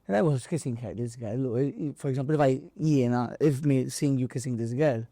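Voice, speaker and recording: A man's voice: medium pitch, brisk, warm tone, dry recording. Maltese man telling stories, very dry sound. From Malta. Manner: acts out dialogue and impact sounds; non-native, broken English with Maltese mixed in. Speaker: boxer and boxing trainer, interview guest. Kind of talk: casual animated storytelling.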